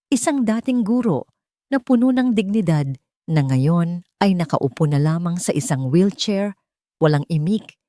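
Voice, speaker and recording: A Filipino woman narrates an audio drama story in a fairly low voice. Her delivery is scripted and dramatic, built for storytelling. The recording is dry, with very little room sound. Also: mellow, no echo.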